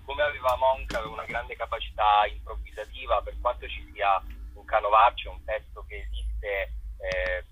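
A low, husky-voiced man with a Roman Italian accent, a theatre director who explains things in a measured, orderly way. The sound is dry and dull, as if heard over a phone line. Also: no room sound.

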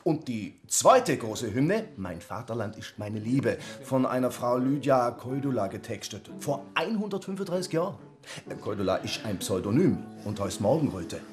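A man's voice: medium pitch, very animated, normal speed, soft background music, lightly acted. A male actor playing an eager, pedantic choir member in a radio drama. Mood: enthusiastic. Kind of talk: naturalistic radio drama acting.

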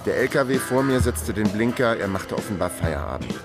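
A wry male private investigator narrates his case in a radio crime drama. His voice sits fairly low, the delivery is dry and sparing with words, and loud music plays beneath it. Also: very animated, a little fast. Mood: wry, watchful. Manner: laconic, dry.

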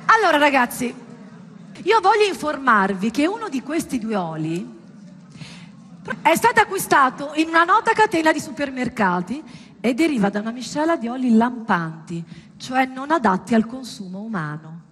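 A woman's voice: sits high, big pitch swings, moderate pace, a bit bright. A woman performing a theatrical monologue to an audience, dramatic and ironic, with rhetorical questions. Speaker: stage actress performing a monologue. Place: indoor performance space, live. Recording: reverberant hall with background noise.

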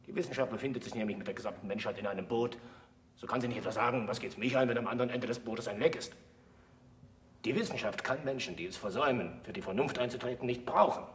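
An actor in a German radio play voicing a disgraced, self-accusing scholar who lectures in a solemn, deliberate, oratorical way. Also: male voice, mid-range voice, lightly acted. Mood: impassioned. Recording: noisy old radio play recording.

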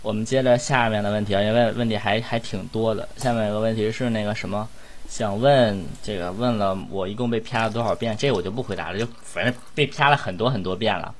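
A male voice actor chatting casually in a blunt, animated and exclamatory way. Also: on the low side, quick pace, a little hiss or hum. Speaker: voice actor in an audio drama. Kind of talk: casual chat.

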